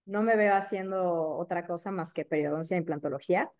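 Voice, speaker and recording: A woman in her thirties from Mexico City with a Mexico City accent, a periodontist guest chatting casually and filling pauses with "este" and "eh". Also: fairly low pitch. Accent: Mexico City Spanish. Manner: warm, chatty, many fillers like "este" and "eh". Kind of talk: casual interview chat.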